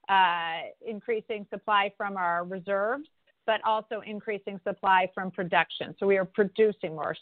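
Woman, congresswoman, telephone town hall, conversational, dry tinny phone audio. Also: mid-range voice. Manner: conversational, with frequent restarts and fillers. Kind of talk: answering constituent questions.

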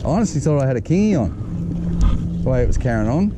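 A man's voice: medium pitch, fairly quick. A man with an Australian accent, chatting casually while fishing from a small boat on a river, keen when a fish bites. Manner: laid-back, chatty, excited about catches. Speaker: fishing vlogger. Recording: loud wind and water noise.

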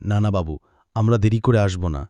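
A man's voice: lowish voice, normal speed, mellow, no echo, close to an everyday voice. A man playing a poor, anxious village fisherman in a cartoon, earnest and dramatic. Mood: pleading. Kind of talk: cartoon voice acting.

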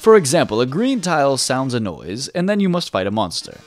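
A man's voice: medium pitch, very animated, brisk, voice pushed down, close to an everyday voice. A man voicing a hammy robot TV host, speaking in a melodramatic, theatrical way with mock grief.

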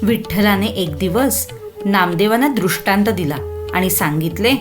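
A woman's voice: mid-range voice, measured pace, over music. A woman narrating a scripted children's story expressively, addressing young listeners with questions.

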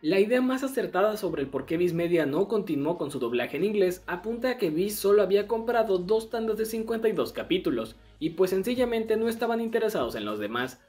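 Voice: medium pitch, darkish tone. A video essay narrator with a Latin American Spanish accent, reading a scripted piece close to the mic. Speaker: narrator of an anime dubbing history video. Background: background music.

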